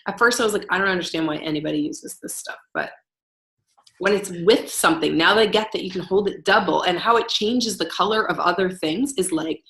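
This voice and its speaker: A woman co-hosting a casual knitting podcast, relaxed and easygoing. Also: on the low side, brisk. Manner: relaxed, easygoing, uses lots of fillers. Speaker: podcast co-host. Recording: echoey room.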